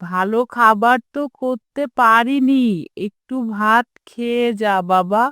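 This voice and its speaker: A woman's voice: a little high, slow pace, fairly bright tone, clean tone, no room sound, clean studio sound. A woman voicing a poor, tender, apologetic mother in a scripted cartoon.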